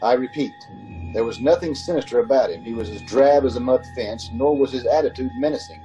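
A man's voice: mid-range voice, warm tone, soft background music, lightly acted, low-quality recording. A man playing a haunted, uneasy motorist who tells his story in an old-time radio drama. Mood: uneasy, reflective. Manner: measured narrating, nervous stammering in dialogue. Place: home, recorded over a video call.

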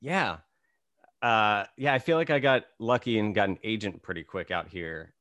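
A man in his thirties hosting an interview show, relaxed and rambling, with many fillers. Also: lowish voice, normal speed, dry recording. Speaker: podcast host. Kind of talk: casual interview chat.

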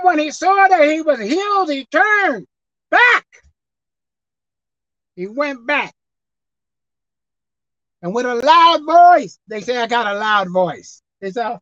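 A woman preaches a sermon in a high, swooping voice that rises and drops sharply. She works the congregation with call-and-response and acts out dialogue as she goes. The recording is dull and dry. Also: fairly bright tone, no echo.

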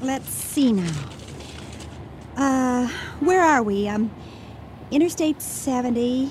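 High-voiced, fearful, pleading wife. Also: female voice, expressive, slow pace, a little mellow, slight background noise. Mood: concerned. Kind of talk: radio drama acting. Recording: 1980 radio drama with prominent music underneath.

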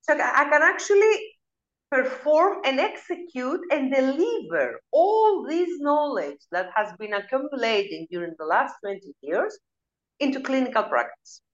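A woman's voice: sits high, big pitch swings, unhurried, lo-fi sound. A Greek woman in her forties, a nutritionist, answering interview questions in English with a Greek accent and frequent hesitant fillers. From Greece. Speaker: guest, nutritionist. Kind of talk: casual interview talk.

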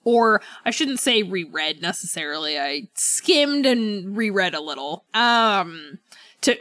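A woman's voice: medium pitch, lively intonation, takes it slowly, a bit bright, no room sound. A woman co-hosting a book club podcast, talking casually and conversationally as she qualifies her opinions. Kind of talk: casual book discussion chat.

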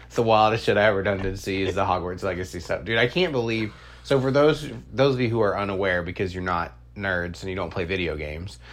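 A man's voice: low voice, steady tone, fairly quick. A man hosting a podcast in casual comedic chat, speaking in a profane, slangy, opinionated way. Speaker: podcast host. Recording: clearly noisy, humming background.

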